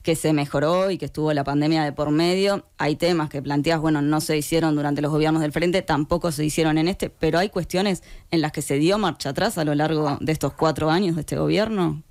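A man's voice: a little high, fairly even intonation, brisk, few pauses, darkish tone, no echo. A man with a Uruguayan accent who hosts the show, asking probing follow-up questions. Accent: Uruguayan Spanish. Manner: probing, steering follow-ups. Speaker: interviewer, show host. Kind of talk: interview questions.

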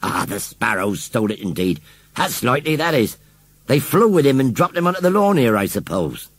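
Irate storybook farmer, played by male reader: rustic turns of phrase, mocking, lifted, highly animated. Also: a little fast, breathy edge, no room sound. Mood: sarcastic. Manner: sarcastic, scolding, rustic phrasing. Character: gruff, angry, sarcastic male farmer.

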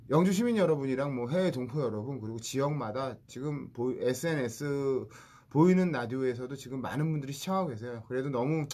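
A man hosting a radio show, chatting casually and teasing his guest with questions. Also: fairly high pitch. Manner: lively, joking, questions the guest.

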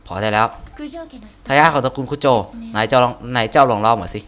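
A man voicing a stern, imperious female shogun from a game, reading formal lines haltingly. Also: medium pitch, fairly bright tone, natural voice. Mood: commanding. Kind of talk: reading game dialogue aloud.

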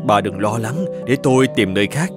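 Actor doing a kind older shopkeeper guy in a cartoon, steady reassuring, music behind. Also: on the low side, fairly quick, close to an everyday voice. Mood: resigned, calm. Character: kindly, calm older male eatery owner. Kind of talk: animated moral-tale dubbing.

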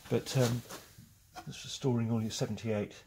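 An English man in his forties with a gravelly edge, chatting cheerfully as he leads a tour through a packed saleroom. The sound comes from a camera mic that follows him around, with clear background noise in the room. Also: medium pitch, fairly even intonation, moderate pace. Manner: chatty and enthusiastic, chuckling often. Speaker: auctioneer giving a saleroom tour. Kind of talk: casual walk-through commentary. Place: large cluttered indoor auction saleroom. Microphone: handheld camera following him.

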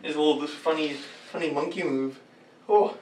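A man's voice: on the high side, moderate pace, darkish tone. Giggly young man in an echoey room. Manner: giggly and self-mocking, chatty. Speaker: cosplayer filming fighting-game moves. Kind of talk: casual chat while acting out moves. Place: green screen room indoors. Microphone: far camera mic. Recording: echoey room, mic set far off.

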